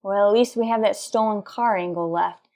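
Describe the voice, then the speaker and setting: A woman's voice: mid-range voice, moderate pace, dark tone, close to an everyday voice. Businesslike policewoman in an old-style radio drama, acted. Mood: focused. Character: businesslike female police sergeant narrating a manhunt. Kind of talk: 1940s radio police drama acting, narration.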